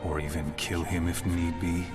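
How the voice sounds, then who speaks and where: A man playing a grave, probing adult ninja in an anime game, speaking deliberately. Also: low voice, flat delivery, natural voice. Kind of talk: English anime game voice acting. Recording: loud music over voice.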